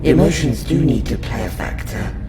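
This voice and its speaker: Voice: mid-range voice, animated, normal speed, heavy background noise, natural voice. A performer with a woman's voice playing a courteous, curious alien scientist in an audio roleplay, questioning a human in formal, clinical wording. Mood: fascinated, puzzled. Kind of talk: audio roleplay monologue to the listener. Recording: roomy echo under loud music.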